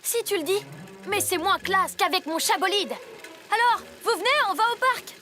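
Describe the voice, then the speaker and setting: A small, earnest child superhero, very high in pitch and a little grumpy. The delivery is emphatic and childlike, in French animated cartoon style. Score music plays clearly behind. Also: female voice, moderate pace. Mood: indignant. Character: earnest, slightly grumpy six-year-old child superhero. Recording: music under the voice.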